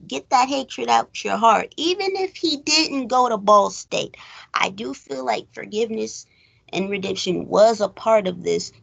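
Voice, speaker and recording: A woman hosting a recap show, chatting casually about a film in an animated way, throwing out rhetorical questions. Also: mid-range voice, creaky, rough recording. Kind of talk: casual chat movie review.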